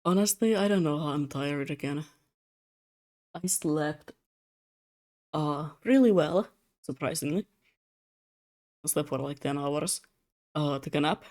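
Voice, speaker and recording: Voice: medium pitch, measured pace, pauses often, darkish tone. Tired young streamer. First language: Russian. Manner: rambling, self-mocking, laughs often. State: tired, slightly slurred speech. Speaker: streamer drawing commissions. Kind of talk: casual live-stream chat.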